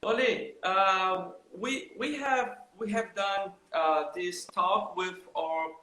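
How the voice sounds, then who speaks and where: A man hosting a live video chat who greets viewers by name and switches into halting English. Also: high voice, bright, forceful tone, echoey room, low-quality recording. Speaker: host.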